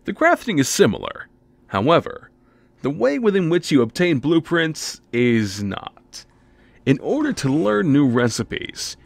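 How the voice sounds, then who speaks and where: A man's voice: fairly high pitch, creaky, no echo. A man reading a scripted game preview narration with theatrical, wry, emphatic phrasing. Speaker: video game reviewer and narrator.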